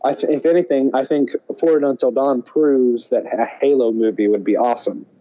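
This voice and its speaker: A man co-hosting a podcast, chatting casually and thinking aloud in halting, hesitant phrases. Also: mid-range voice, fairly even intonation, warm tone, lo-fi sound. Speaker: podcast co-host. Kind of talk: casual chat about movies and games.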